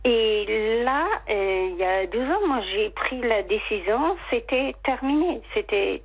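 A woman with a slight English accent calls in to a radio show. She speaks slowly and haltingly, stopping often as she works through something painful. Her voice comes through a muffled, lo-fi phone line. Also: fairly high pitch, animated, creaky. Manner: hesitant and halting, many fillers and restarts, emotional. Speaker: caller. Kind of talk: personal confession on call-in radio. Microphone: telephone line.

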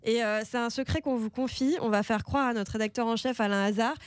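A woman's voice: a little high, fairly even intonation, brisk, dry recording. A woman hosting a casual behind-the-scenes podcast, teasing and chatty, with a head cold. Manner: chatty, teasing, many fillers and restarts. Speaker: podcast host.

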